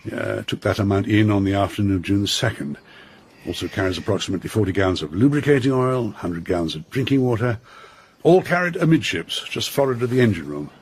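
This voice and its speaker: An actor playing a genteel, cautious older colonial governor in a radio drama, with a British accent and an urbane, fussy manner. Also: male voice, lowish voice, lively intonation, brisk, a little hiss or hum. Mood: urbane, relieved.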